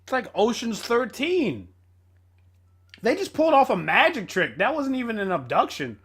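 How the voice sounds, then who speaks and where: A man delivers casual reaction commentary. His voice is high and bright, with very animated intonation, and he often says a phrase twice for emphasis. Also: normal speed, husky. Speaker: reaction video host.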